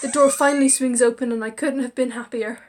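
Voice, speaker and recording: A woman hosting a gaming video, reading visual novel narration aloud in an expressive, theatrical way. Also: fairly high pitch, fast. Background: game music.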